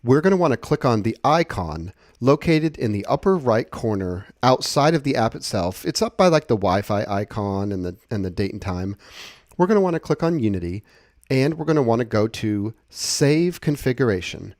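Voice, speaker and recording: A man giving a casual, conversational software walkthrough, explaining things step by step. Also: medium pitch, sing-song, moderate pace, dry recording, studio quality. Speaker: software tutorial presenter.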